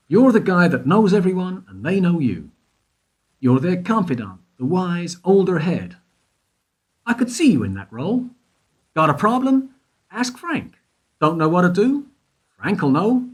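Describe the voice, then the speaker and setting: A man's voice: high-pitched, very animated, measured pace, long pauses, slightly rough, heavily acted. A man acting in a radio play as a wry investigator, questioning in a probing, insistent way. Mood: needling, insistent.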